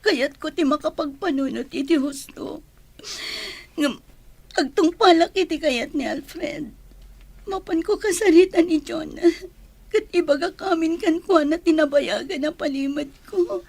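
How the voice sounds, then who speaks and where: A woman's voice: sits high, a little slow, creaky, darkish tone, dry recording. Troubled young woman in love. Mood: resolute. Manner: earnest, emotional. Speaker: radio drama actor. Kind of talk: radio drama acting. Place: radio studio.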